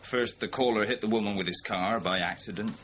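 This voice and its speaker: An actor playing a plain-speaking junior detective in a radio crime drama, colloquial and methodical. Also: male voice, on the low side, a little fast, fairly bright tone, natural voice. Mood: reasoning. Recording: dull lo-fi audio, faint noise.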